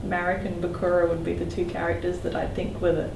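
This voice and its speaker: A woman's voice: on the low side, completely flat delivery, quick pace. A woman interviewing casually, like a fan, in rambling sentences full of "like". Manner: rambling, frequent "like". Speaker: podcast panel interviewer. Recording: echoey, distant mic, clear background noise.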